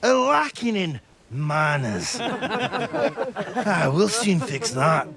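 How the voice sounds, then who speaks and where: Swaggering high-voiced bully. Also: male voice, very animated, creaky, over a noisy crowd, heavily acted. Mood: condescending. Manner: jeering, swaggering, drawn-out delivery. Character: rowdy, mocking, bullying young nobleman wedding guest. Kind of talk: video game acting.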